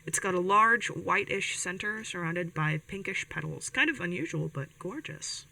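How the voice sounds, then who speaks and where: A woman's voice: fairly low pitch, animated, moderate pace, creaky, bright, forceful tone, exaggerated voice, rough recording. A woman playing an earnest, caring older sibling who hosts a community radio show in an audio drama, chatty and a little hesitant. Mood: gentle, pleased. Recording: music bed behind the voice.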